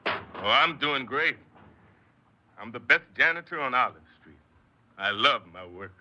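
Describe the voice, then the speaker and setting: A man's voice: medium pitch, animated, unhurried, sharp tone. An actor playing a desperate, sullen, sarcastic young American man in a 1950s radio drama. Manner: pleading, insistent. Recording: dull lo-fi old radio recording.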